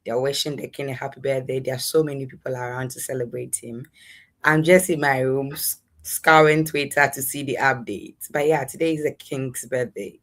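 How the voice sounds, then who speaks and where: A woman's voice: a bass voice, steady tone, normal speed. A young adult woman with a Ghanaian accent, giving conversational answers as an interview guest. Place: in a room at home, online interview.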